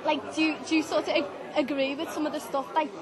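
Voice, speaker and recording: A young woman, hosting a casual interview at a busy venue. Her voice is high and light, and a crowd chatters clearly behind her. She keeps things eager and informal, full of "yeah" and "like". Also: little pitch movement, fast. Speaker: interviewer, host.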